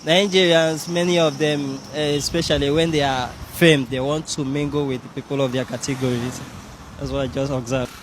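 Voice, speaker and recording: A man answering street interview questions in a measured, explaining way. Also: mid-range voice, steady tone, noisy background.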